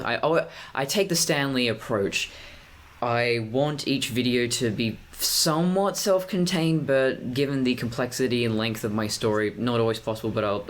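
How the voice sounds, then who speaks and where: A young man with a deep, mid-pitched voice, talking to his camera in a personal vlog. He picks his words with care and paces them deliberately. He often restarts a phrase before carrying on. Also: a bit bright. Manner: deliberate, measured pacing with frequent restarts. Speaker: vlogger.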